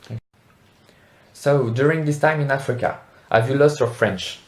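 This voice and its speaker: A man conducts an interview in a mid-pitched voice, putting short, plain questions and adding the odd filler. His voice carries a roomy echo. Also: normal speed.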